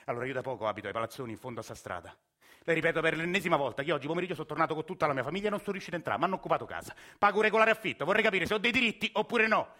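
An exasperated, insistent Roman family man in a radio drama. He speaks with a Roman accent in a bright, forceful voice pitched slightly high. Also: animated, racing. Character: indignant working-class Roman family man. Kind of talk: radio theatre acting.

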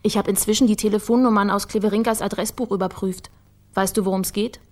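Female investigator for radio crime drama played by an actress: mid-range voice, clear-headed, matter-of-fact, methodical. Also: mid-range voice, fairly even intonation, quick pace, a little mellow, lightly acted. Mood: confident explaining. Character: sharp, methodical woman investigator working for the prosecutor. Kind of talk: naturalistic German radio crime drama acting.

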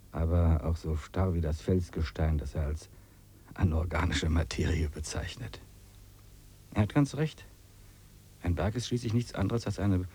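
An actor playing a reflective wanderer who muses philosophically in a 1950s German radio drama. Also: male voice, deep voice, mellow, husky, exaggerated voice. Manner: musing, philosophical. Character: reflective German wanderer seeking freedom in the wilderness.

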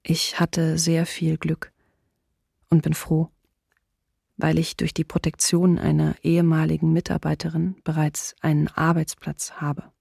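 A woman playing a numb diarist in an occupied city, creaky and husky, in a radio docudrama. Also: deep voice, completely flat delivery, measured pace, mellow, dry recording, clean studio sound, exaggerated voice. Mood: numb, subdued. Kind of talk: radio docudrama diary reading.

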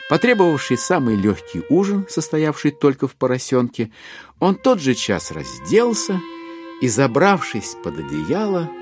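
A man's voice: mid-range voice, huge pitch swings, a little slow, darkish tone, soft background music. A man acting as the wry narrator of a classic novel in a dramatised audiobook. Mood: wry, storytelling.

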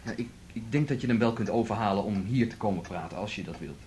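An actor playing an urgent, coaxing go-between in a radio drama, hesitant yet insistent. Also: male voice, lowish voice, fast talker, darkish tone, close to an everyday voice. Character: insistent male go-between, coaxing a reluctant woman. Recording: faintly noisy radio play recording.

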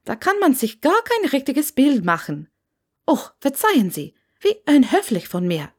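A woman's voice: sits high, huge pitch swings, measured pace, pitched above the performer's own voice, full character voice. Woman voicing a gushing, chatty older village hostess. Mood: gushing, friendly. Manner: gushing, talkative, enthusiastic. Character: chatty, effusive plump grey-haired Scottish innkeeper's wife. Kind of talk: audiobook character dialogue.

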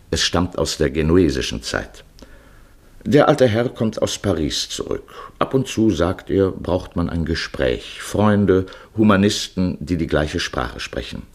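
A man narrates a literary radio play in a very low voice. The tone is husky, and he sets out each phrase in a measured, declarative way.

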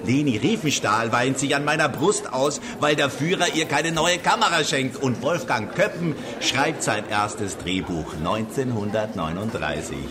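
An actor playing a boisterous film-world guest who tells old anecdotes with theatrical, mocking relish in a radio play. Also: male voice, mid-range voice, unhurried, hardly any pauses, close to an everyday voice. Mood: exuberant, nostalgic. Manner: theatrical, exuberant, mocking storyteller. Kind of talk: radio drama acting. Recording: lively crowd chatter behind.

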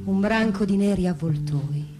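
A woman's voice: medium pitch, unhurried. A woman delivering storytelling verse in a rhythmic, song-like way. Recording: plucked guitar loud behind voice. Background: loud guitar music.

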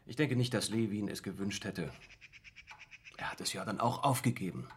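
A man's voice: on the low side, moderate pace, slight background noise, natural voice. An actor playing a detached, disapproving middle-aged father in a radio drama, speaking stiffly and formally. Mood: resigned, disapproving.

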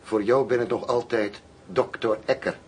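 A man's voice: fairly even intonation, normal speed, close to an everyday voice. A man playing an unflappable, curt and commanding undercover agent in a radio drama. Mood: cool, defiant. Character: cool, defiant undercover agent posing as arms buyer. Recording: noisy radio play, vehicle rumble.

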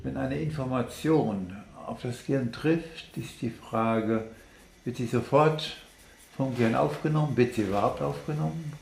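A man explaining science off the cuff, halting and deliberate, as if thinking aloud. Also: mid-range voice, vocal fry, a little mellow. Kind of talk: unscripted explanatory monologue. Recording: echoey room with background noise.

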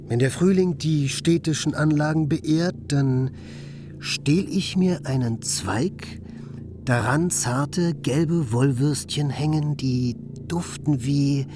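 An actor in a radio play playing an effusive, restless, romantic writer who speaks in a lyrical, declamatory way. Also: male voice, medium pitch, natural voice. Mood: rhapsodic. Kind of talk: German radio play acting.

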